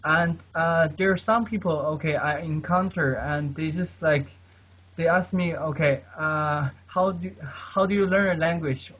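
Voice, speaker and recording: Man, husky voice, fairly high pitch, keeps saying okay, earnest advice, dull lo-fi sound. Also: fairly bright tone. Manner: keeps tagging sentences with "okay?"; earnest, advice-giving, many fillers. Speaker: guest polyglot. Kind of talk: casual conversation about language learning. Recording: dull lo-fi recording.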